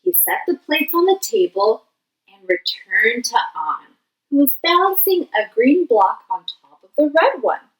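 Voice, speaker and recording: A woman teacher reading a children's picture book aloud in an expressive way. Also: sits high, rough recording. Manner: slow, expressive. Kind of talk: children's storybook read-aloud.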